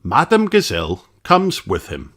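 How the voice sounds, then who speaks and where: A man reading a children's story aloud as narrator, in a measured, animated way. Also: fairly low pitch.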